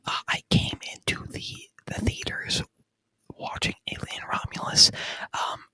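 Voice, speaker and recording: A man speaking in a breathy whisper, chatting casually in ASMR style and softly repeating words, close to the microphone. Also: unhurried, no room sound. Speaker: ASMR video creator reviewing a movie.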